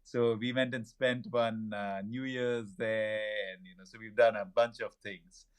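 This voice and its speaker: Effusive low-voiced male podcast host. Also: steady tone, unhurried, clear, resonant tone. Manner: effusive, warm praise and eager questions. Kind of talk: conversational interview.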